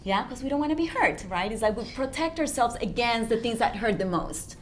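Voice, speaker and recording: Earnest woman with foreign accent, faint rumble. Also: animated, a little fast. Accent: non-native English. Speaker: relationship coach, interview guest. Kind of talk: conversational coaching advice. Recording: faint background noise, low rumble.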